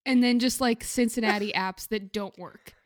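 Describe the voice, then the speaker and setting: Female podcast host, slightly high voice, casual comedic conversation. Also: fairly even intonation, moderate pace.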